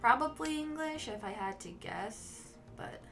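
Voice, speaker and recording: A woman whose first language is Mandarin, chatting casually with viewers while she plays a game as a streamer, in a relaxed and musing way. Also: fairly high pitch, measured pace. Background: game music.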